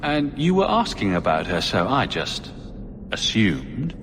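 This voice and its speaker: Game lead: wry, blunt hero, lowish lively voice, ringing in long reverb. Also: male voice, unhurried, noisy background. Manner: probing, dry. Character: wry, blunt male adventurer hero, sardonic. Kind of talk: dramatic video game dialogue.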